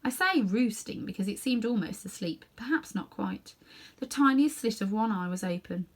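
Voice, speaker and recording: A woman's voice: medium pitch, lively intonation, normal speed. Woman reading a children's story expressively. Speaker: storybook reader. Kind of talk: expressive scripted narration for children.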